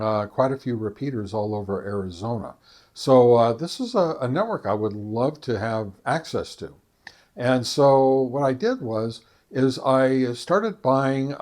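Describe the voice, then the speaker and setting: An American man presenting a hobby video in a casual, unscripted way, speaking haltingly with many filler pauses. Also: mid-range voice, a little mellow. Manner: halting, hesitant delivery with frequent long filler pauses. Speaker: ham radio video creator and presenter. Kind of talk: casual unscripted product talk.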